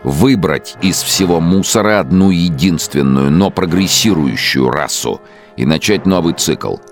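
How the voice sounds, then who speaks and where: Megalomaniac male dictator, extremely deep voice, grandiose brooding radio-play monologue, emphatic declaiming, music behind. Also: animated, measured pace. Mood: grandiose, fanatical. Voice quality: very deep, gravelly.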